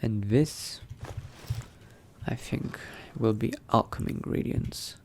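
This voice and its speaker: Slow, low-pitched man musing in character. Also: gravelly. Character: newly turned vampire exploring new powers, musing adventurer. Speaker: roleplaying game player. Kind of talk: in-character gameplay narration, thinking aloud. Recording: noticeable background noise.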